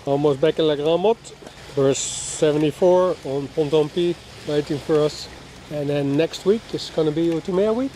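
A man's voice: on the high side, moderate pace, few pauses, a little hiss or hum. A man, casually chatting to the camera aboard his sailing catamaran. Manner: relaxed, chatty, laughs easily. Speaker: sailing vlogger. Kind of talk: casual vlog narration. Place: aboard a catamaran, outdoors and in the saloon. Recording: music playing underneath.

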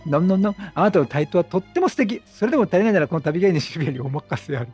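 A man's voice: high-pitched, expressive, racing, darkish tone, husky, soft background music. A man voicing a flamboyant, confident male traveling entertainer from a video game, with effeminate, theatrical speech. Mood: encouraging.

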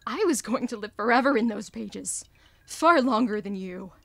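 A woman's voice: a little high, animated, moderate pace, creaky. A woman playing a cruel, taunting muse, a writer's imagined creation, in a theatrical audio drama style. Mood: panicked, furious. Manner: theatrical, mocking. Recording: loud noisy background.

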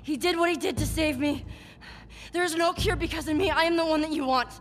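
An actress playing a desperate young survivor in a video game drama, urgent and pleading. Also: female voice, high voice, a little fast, slight background noise, natural voice. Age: young adult.

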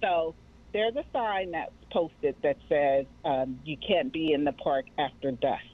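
A woman's voice: low voice, unhurried. A middle-aged woman with an American accent, talking conversationally as an interview guest. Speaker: guest, mental health nonprofit director. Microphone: remote call. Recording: narrow tinny radio-like call audio.

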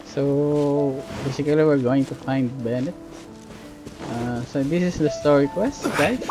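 A man's voice: medium pitch, unhurried, low-quality recording. A man giving casual gameplay commentary as a streamer, in hesitant fragments. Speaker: gaming streamer. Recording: game music behind voice. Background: game music and sounds.

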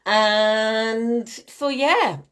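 A woman's voice: on the high side, very slow, smooth. Chatty woman coaching live. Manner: chatty, animated, gestures a lot, greets viewers by name. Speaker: fitness and nutrition coach. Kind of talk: live-stream masterclass to viewers. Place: small room at home, indoors. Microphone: laptop webcam mic.

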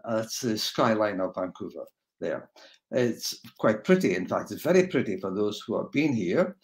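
An older man from Scotland with a Scottish accent, giving a relaxed, rambling webinar lecture over a video call from his home. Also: fairly low pitch, normal speed. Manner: relaxed, rambling with fillers and restarts. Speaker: guest speaker.

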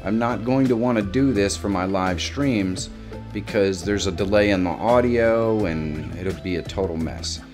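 A middle-aged man hosting a casual step-by-step software tutorial. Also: deep voice, unhurried, over music. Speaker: tutorial host. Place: small home room, indoors.

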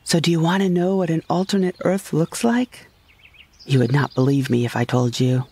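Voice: mid-range voice, moderate pace, warm tone, slightly rough. A narrator telling a first-person sci-fi comedy story in a florid, dramatic, scripted storytelling style. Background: music behind the voice.

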